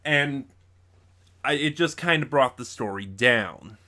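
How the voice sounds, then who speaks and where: A man's voice: medium pitch, expressive, slow, bright, forceful tone. A man hosting a casual review video, speaking in a conversational, opinionated way straight to the audience. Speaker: video reviewer and channel host.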